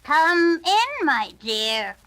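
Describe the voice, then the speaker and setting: A woman's voice: high voice, big pitch swings, a bit bright, voice pushed up, heavily acted. A woman voicing a sly male storybook wolf, sweet-talking and predatory, drawing out the words in a coaxing way. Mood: falsely sweet. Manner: drawn-out, coaxing delivery.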